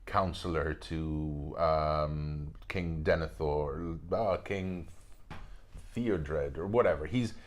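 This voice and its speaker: This is a man with a very low, deep voice. He chats casually as a podcast guest, telling stories in an animated way and often stopping to restart his sentences. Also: slow pace. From Spain. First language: Spanish. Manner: animated storyteller, many fillers and restarts. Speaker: guest, actor.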